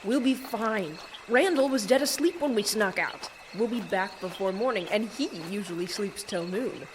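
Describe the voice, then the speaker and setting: A woman's voice: mid-range voice, moderate pace, slight background noise, natural voice. A woman playing a headstrong, determined young boy adventurer in an audio drama, urgent and insistent. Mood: stubborn, assured. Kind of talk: scripted audio drama acting.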